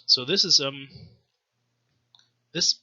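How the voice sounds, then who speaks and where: A man giving an explanatory language lesson, reading text samples aloud in a careful, hesitant, word-by-word manner with pauses. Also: mid-range voice, sharp tone, slightly rough, muffled recording. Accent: non-native Arabic accent when reading Arabic. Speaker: language teacher, presenter of a video series.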